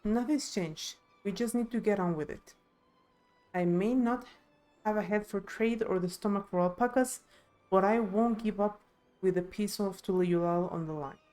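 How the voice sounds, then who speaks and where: A woman's voice: mid-range voice, normal speed, dark tone, faint music behind, lightly acted. Woman voicing an earnest, determined young heir. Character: earnest young female claimant to a throne.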